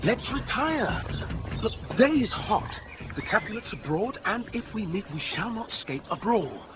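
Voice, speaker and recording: An actor playing an anxious, peace-seeking young gentleman, pleading urgently in 1950s radio drama style. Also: sits high, very animated, moderate pace. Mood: worried. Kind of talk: 1950s radio Shakespeare acting. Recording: muffled old radio recording with music.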